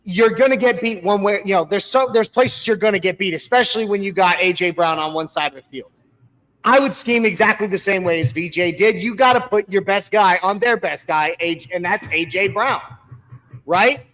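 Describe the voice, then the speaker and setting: An emphatic man talking sports as a co-host. His voice sits high for a man, and he repeats phrases to drive his points home. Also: moderate pace, a bit bright, low-quality recording. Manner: emphatic, repeats words for stress. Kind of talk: casual sports talk.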